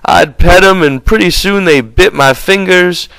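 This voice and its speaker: A man's voice: high voice, measured pace, sharp tone, smooth, no room sound, voice pushed up, full character voice, poor audio. A man voicing a big, simple-minded, childlike man with a timid, naive, whimpering way of talking in an audiobook. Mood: sad, apologetic.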